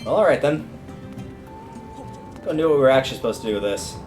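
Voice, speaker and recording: A man's voice: mid-range voice, expressive, fast, darkish tone. A man giving casual live commentary on a video game, thinking aloud in colloquial language. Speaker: game livestreamer. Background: video game music.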